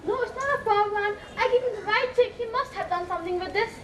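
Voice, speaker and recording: A woman's voice: extremely high pitch, quick pace, a little hiss or hum. A female student playing an indignant wife who denies fraud in a school play. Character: indignant wife accused of fraud, denying everything. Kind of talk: school stage play acting. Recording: echoey hall, loud crowd, distant mic.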